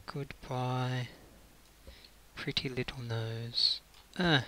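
A man's voice: mid-range voice, fairly even intonation, extremely slow, pushed and bright. A man giving casual gameplay commentary as he builds, muttering to himself and thinking aloud. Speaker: gaming video creator. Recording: faint hiss and clicking.

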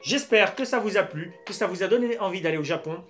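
A man's voice: sits high, fast talker, fairly bright tone. A young man from Belgium hosting a casual unboxing vlog in informal, slangy speech. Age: young adult. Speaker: YouTube host unboxing souvenirs. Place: small dim room at home, indoors. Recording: music playing under the voice. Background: music.

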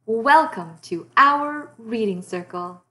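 Slow, expressive woman reading a picture book. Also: on the high side. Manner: deliberate, expressive, addresses child listeners. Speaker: storytime host and reader. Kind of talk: children's picture book read-aloud.

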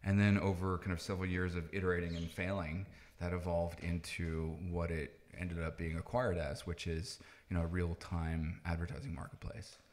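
A man's voice: deep voice, level tone, moderate pace, few pauses, a little mellow, a little hiss or hum. A man in his thirties from the Netherlands, a native Dutch speaker, explaining things conversationally as a podcast guest. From Netherlands, moved to the US at nine. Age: 30s. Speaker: podcast guest, tech founder. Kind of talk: conversational interview answers, explaining.

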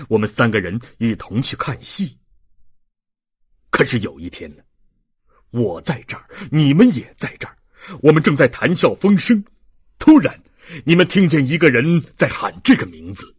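A man voicing an anguished, weary old ex-convict with dignity in a dramatic, halting audiobook reading. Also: mid-range voice, breathy edge, no echo, clean studio sound. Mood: pained, restrained, then agitated.

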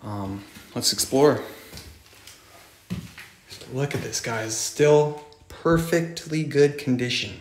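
A man with a mid-range voice walks through abandoned buildings, narrating into a handheld phone. He talks with excitement and keeps calling out to his viewers as he goes. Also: medium pitch, slow, pauses often. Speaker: urban explorer vlogger. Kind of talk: walkthrough narration while exploring. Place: abandoned buildings and old vehicles, indoors and out.

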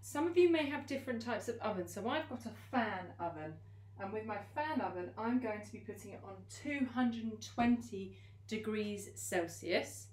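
A young woman teacher in her home kitchen, gently explaining each cooking step to children as she works. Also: mid-range voice, expressive, normal speed, a little hiss or hum.

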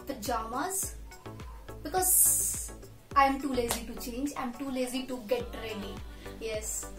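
Young woman, Indian English accent, chatty vlogger, self-deprecating, high voice, echoey home room. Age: young adult. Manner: chatty and self-deprecating, with frequent "so yes" fillers. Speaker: YouTube reaction vlogger. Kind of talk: casual chat to camera. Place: small room at home, indoors. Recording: roomy home room echo, faint music.

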